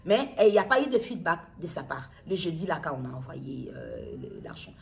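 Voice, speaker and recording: Lower-voiced middle-aged Congolese woman, halting delivery. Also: very animated, gravelly, darkish tone, a little hiss or hum. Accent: Congolese, from Kinshasa. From Democratic Republic of the Congo. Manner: halting, repetitive, circles back to restate phrases. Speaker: mother making a public appeal video. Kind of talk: personal testimony to camera, unscripted recounting.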